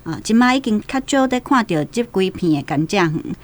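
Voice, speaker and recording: A woman's voice: medium pitch, lively intonation, brisk. A woman with a Taiwanese accent giving explanatory commentary on a book for radio.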